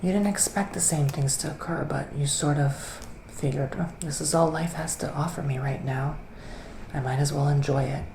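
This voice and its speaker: A man playing a gentle psychic witch in a soft ASMR roleplay, partly whispering, with hesitant, drawn-out phrasing. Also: fairly high pitch, steady tone. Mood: soothing, assured. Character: gentle male witch giving psychic tarot readings. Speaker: ASMR performer. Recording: roomy echo, clear background noise.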